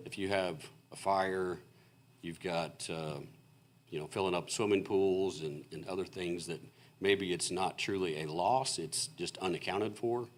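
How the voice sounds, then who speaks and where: Deep-voiced male engineer, hoarse from losing his voice, walking a city board through a report, halting and filler-heavy. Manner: halting and explanatory, many fillers and restarts. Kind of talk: technical presentation at a public meeting. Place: city council meeting room.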